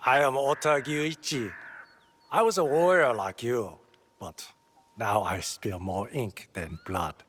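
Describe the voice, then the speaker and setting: Husky-voiced scholarly scribe. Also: male voice, medium pitch, slow, a bit bright. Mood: courteous, admiring. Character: courteous, scholarly warrior turned scribe of feudal Japan.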